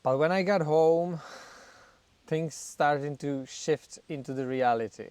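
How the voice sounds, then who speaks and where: Smooth-voiced man with a Czech accent. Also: mid-range voice, no room sound. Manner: reflective and frank, with slight grammar slips and soft laughs. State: somewhat nervous on camera. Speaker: channel host. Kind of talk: candid talk to camera about recovery.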